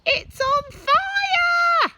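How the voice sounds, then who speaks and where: A woman's voice: very high voice, extremely slow, heavy background noise, pitched above the performer's own voice, full character voice. A woman voicing a bossy, crotchety old grandmother from a children's storybook, scolding and boastful. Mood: alarmed shrieking. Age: older. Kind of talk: animated children's storybook character voice.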